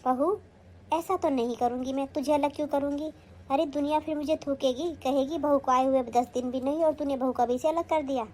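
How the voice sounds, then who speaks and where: A woman's voice: sits high, steady tone, fairly quick, darkish tone, a little hiss or hum, close to an everyday voice. A woman acting a stern older mother-in-law who scolds and commands in a family skit.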